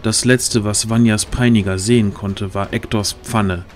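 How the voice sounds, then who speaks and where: A man's voice: lowish voice, steady tone, unhurried. A man as narrator, reading a scripted fantasy story in a dramatic storytelling style. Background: background music.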